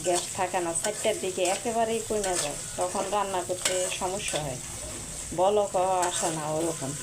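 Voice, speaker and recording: A woman's voice: mid-range voice, moderate pace, noisy background. A woman with a Bangladeshi accent narrating her daily life at home in an informal, chatty, colloquial way. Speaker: home vlogger. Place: at home, kitchen and balcony.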